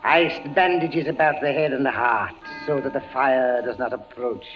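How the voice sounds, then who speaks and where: An actor playing a cold, accusing priest of the Inquisition in 1940s radio style. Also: male voice, mid-range voice, steady tone, moderate pace, close to an everyday voice. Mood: cruel. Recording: dull old radio broadcast with music.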